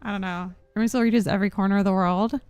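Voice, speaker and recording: A woman streams a game with casual commentary. Her voice is clean and smooth at an average pitch, recorded very dry, as she muses aloud informally. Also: fairly even intonation, quick pace, darkish tone, no room sound, soft background music. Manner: musing aloud, giggly.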